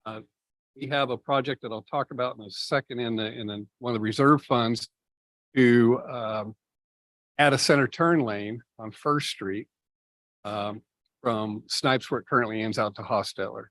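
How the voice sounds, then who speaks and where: A city staff member explaining budget items at a public meeting, measured and explanatory, with many fillers. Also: male voice, medium pitch, a bit bright, no room sound. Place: meeting room.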